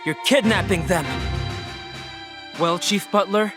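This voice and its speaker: Earnest young detective. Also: male voice, high-pitched, slow, over music. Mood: accusing, triumphant. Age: young adult. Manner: firm, methodical explaining. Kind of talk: video game cutscene acting.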